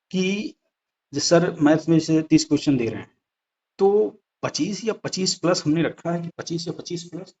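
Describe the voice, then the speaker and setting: A man's voice: fairly high pitch, a little mellow. A man giving a conversational explanatory lesson over slides, speaking directly to viewers and repeating points for emphasis. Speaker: exam-prep presenter. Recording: dull lo-fi sound.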